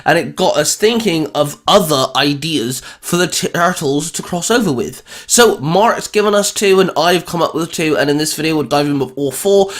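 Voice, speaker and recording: A man's voice: a little high, fairly bright tone. A man hosting a casual geek discussion, speaking informally and emphatically and repeating himself to stress a point. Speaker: host of a hobby video channel.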